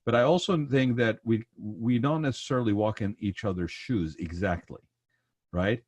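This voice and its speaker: Low-ish guy from the gulf region hosting a podcast, talks in loops, lots of "right?", dry sound. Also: moderate pace, no room sound. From Persian Gulf region. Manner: rambling, repeats words, tags thoughts with "right?" and "you know". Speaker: podcast host. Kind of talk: casual conversational interview.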